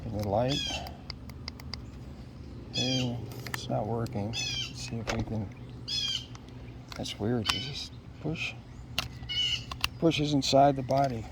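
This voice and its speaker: A man casually talking through an unboxing, unscripted and halting, often musing aloud to himself. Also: mid-range voice, huge pitch swings, very noisy. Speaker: product unboxer.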